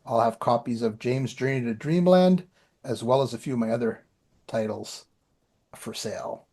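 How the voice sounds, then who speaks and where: A Canadian man co-hosts a casual podcast, sharing personal updates. His voice sits at a medium pitch, and he rambles along in a self-deprecating, conversational way. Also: lively intonation, moderate pace, darkish tone. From Ottawa area, Canada. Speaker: podcast co-host and author.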